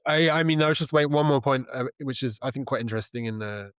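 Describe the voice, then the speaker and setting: A man speaking as an interview guest, explaining things in a thoughtful, halting, conversational way. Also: medium pitch, fairly bright tone, clean tone, no echo, clean studio sound. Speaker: interview guest, journalist and author.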